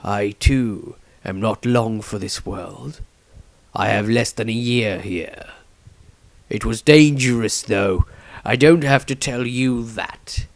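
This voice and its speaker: A man voicing an old male wizard patriarch in a storybook, formal, deliberate and sympathetic. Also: on the low side, vocal fry, no echo. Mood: grave.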